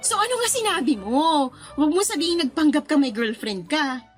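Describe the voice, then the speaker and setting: A young woman as an actress plays her with a high voice, the teasing, incredulous best friend of a radio drama. She sounds expressive and easily exasperated, over faint music. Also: fairly quick. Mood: amused, scandalized. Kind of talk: radio drama dialogue, colloquial Tagalog.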